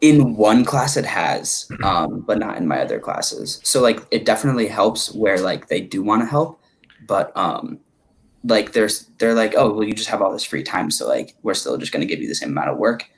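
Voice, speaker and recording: Low-voiced young male college student. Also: big pitch swings, normal speed, vocal fry. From Connecticut. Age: 20s. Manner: casual, heavy use of "like". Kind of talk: casual chat.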